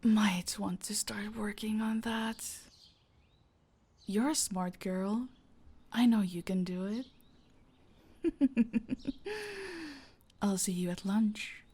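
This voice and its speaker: Slow-talking, possessive female mafia boss, voiced by a woman. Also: mid-range voice, fairly even intonation, mellow. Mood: teasing, dominant. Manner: slow, teasing, commanding, often dropping to breathy whispers. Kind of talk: intimate audio roleplay, scripted.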